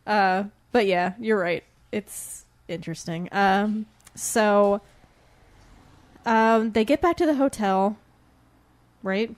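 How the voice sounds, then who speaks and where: A woman's voice: mid-range voice, slow pace, pauses often. A woman co-hosting a podcast in a casual, chatty book discussion, giggly and full of hedging asides. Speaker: podcast co-host.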